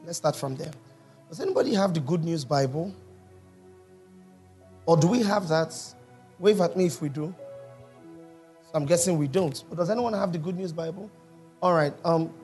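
Pastor preaching, slightly high voice. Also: male voice, lively intonation, normal speed, pauses often, a little mellow, soft background music. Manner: teaching, asks the congregation questions. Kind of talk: sermon. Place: church hall with congregation.

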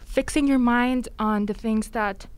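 A woman's voice: a little high, fairly even intonation, unhurried, clear, resonant tone. Earnest woman steering a faith chat. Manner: earnest, affirming, steers the talk. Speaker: podcast co-host. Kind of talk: casual faith podcast chat.

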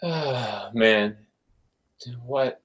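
A man's voice: medium pitch, very slow, a bit bright. A man being interviewed on a podcast, talking casually and rambling with lots of "like" and some swearing. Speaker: podcast guest, band member and photographer.

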